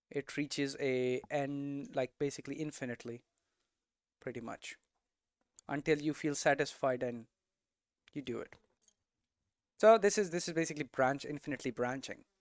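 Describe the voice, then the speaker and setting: A man's voice: mid-range voice, measured pace, pauses often, smooth, no echo, clean studio sound. A man giving an informal lesson, thinking aloud conversationally and often checking in with tags like "right?". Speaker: game development streamer and teacher.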